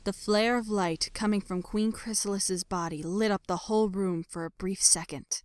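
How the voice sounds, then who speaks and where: A woman reads a dramatic scripted story as narrator, letting phrases fall into a hushed breath. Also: medium pitch, fairly even intonation, normal speed, smooth, no room sound, clean studio sound. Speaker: narrator of a fan fiction audio production. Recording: background music under voice. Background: music.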